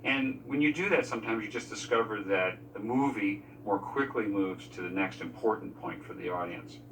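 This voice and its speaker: A man giving measured, explanatory commentary as a filmmaker in a behind-the-scenes interview. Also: fairly low pitch, a bit bright, distant mic, slight background noise, rough recording. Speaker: film crew member discussing editing decisions.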